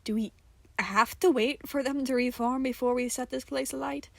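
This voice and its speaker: A woman's voice: high-pitched, fairly even intonation, normal speed, gravelly, fairly bright tone, dry recording, voice pushed up, full character voice. A woman voicing an anxious, bookish male archivist who speaks with hesitant disbelief in an audio story. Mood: appalled. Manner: hesitant, incredulous.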